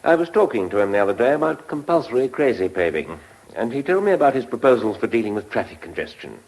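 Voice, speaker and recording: A man's voice: medium pitch, very animated, fast, darkish tone, rough recording. An actor in a British radio drama playing a pompous, scheming official who savours his words with hesitations. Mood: pompous relish.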